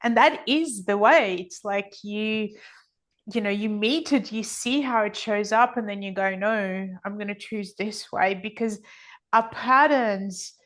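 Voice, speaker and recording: A woman's voice: mid-range voice, a little slow, fairly bright tone. A middle-aged woman giving reflective, conversational interview answers as a podcast guest, often acting out other people's thoughts as quotes. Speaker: podcast guest, energy healing author.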